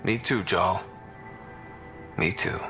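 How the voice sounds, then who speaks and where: An actor playing a young, easygoing human explorer in a video game, casual and lightly teasing. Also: male voice, deep voice, takes it slowly, pushed and bright, soft background music, exaggerated voice. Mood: warm. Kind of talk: naturalistic video game dialogue acting.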